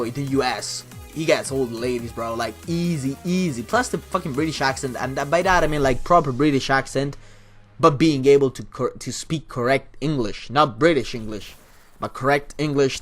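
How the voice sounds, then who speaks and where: A man's voice: mid-range voice, fairly bright tone. A young man from Italy with an Italian accent, answering viewer questions in a casual vlog, rambling and swearing often, with many restarts. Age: young adult. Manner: rambling, profane, frequent restarts. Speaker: YouTuber and streamer.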